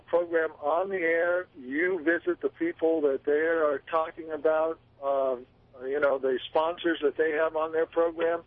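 A man's voice: a little high, no echo. Folksy man calling in by phone. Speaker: caller. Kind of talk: casual phone-in chat. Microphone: telephone. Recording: tinny phone line.

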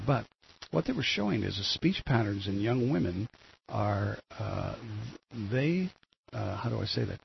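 An older man with a gravelly voice, hosting a rambling solo commentary on the news, full of fillers and restarts. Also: fairly low pitch. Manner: meandering, many fillers and restarts. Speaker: solo show host. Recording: dull lo-fi with faint noise.

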